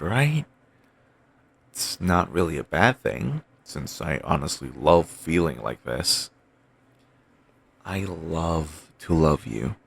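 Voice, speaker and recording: A man voicing an obsessive, doting young boyfriend, rambling softly in a bedtime comfort roleplay. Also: low voice, creaky, slightly rough, full character voice. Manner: soft, rambling, affectionate murmuring.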